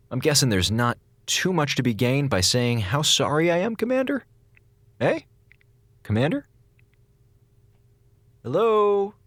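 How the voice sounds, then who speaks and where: A man's voice: lowish voice, a bit bright, no echo. An actor playing a wisecracking, defiant male comms officer who talks in a sarcastic, theatrical way. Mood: weary. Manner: sarcastic, theatrical, quick with jokes. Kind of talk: audio drama acting.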